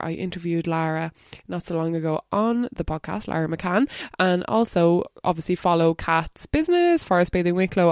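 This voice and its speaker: A woman's voice: fairly low pitch, a little mellow, dry recording, studio quality. Chatty woman hosting a podcast. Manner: chatty, rambling, laughs often. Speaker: podcast host. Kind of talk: casual podcast intro and interview. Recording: crackly static on the mic.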